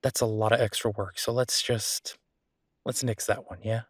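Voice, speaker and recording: A man's voice: fairly low pitch, fairly even intonation, moderate pace, breathy edge, no room sound. Man acting easygoing boyfriend, close dry sound. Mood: animated, firm. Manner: casual, chatty, thinking aloud. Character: easygoing, affectionate male restaurant owner in the mountains. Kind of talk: boyfriend roleplay audio drama, one-sided conversation.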